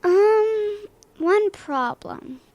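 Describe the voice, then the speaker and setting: A woman's voice: extremely high pitch, animated, extremely slow, a little mellow, no echo, highs cut off, exaggerated voice. A female performer playing a helpful, earnest young girl in a children's radio play, hesitant and full of questions. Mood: earnest, unsure.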